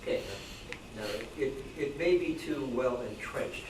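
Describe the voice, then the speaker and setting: A man asking an informal question from the audience, phrasing it haltingly and deliberately. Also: medium pitch. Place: club meeting room, away from mic. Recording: distant, echoey room with clear noise.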